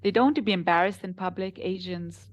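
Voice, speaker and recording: A woman with an Israeli accent, a translation company founder, chatting in an interview and telling animated stories with laughs. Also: on the low side, fairly quick, no echo, highs cut off. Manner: animated storytelling, laughs while talking. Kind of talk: casual interview conversation.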